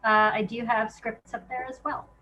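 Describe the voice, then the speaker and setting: A woman's voice: fairly high pitch, little pitch movement, moderate pace, sharp tone, dull audio. A woman guest chatting casually in an interview, thinking aloud in an informal, hesitant way. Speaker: guest playwright.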